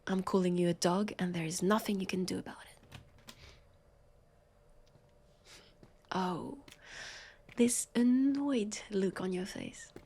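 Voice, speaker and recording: A woman with a French accent playing a sardonic, weary, centuries-old vampire police officer in an intimate audio roleplay, breathy and sighing. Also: medium pitch, a little slow, darkish tone. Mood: teasing. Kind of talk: intimate scripted audio roleplay addressed to listener.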